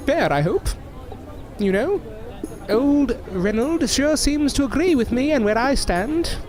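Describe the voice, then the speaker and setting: A performer playing a proud but polite circus newcomer in an audio drama, with an earnest, formal and sometimes hesitant manner. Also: medium pitch.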